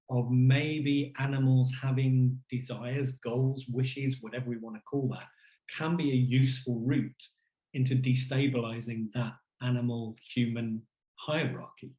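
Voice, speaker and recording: A man's voice: mid-range voice, darkish tone. A man answering questions as an academic keynote speaker, conversational and discursive, circling and correcting himself as he goes. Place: indoor room on a virtual conference call. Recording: roomy, echoey video call sound.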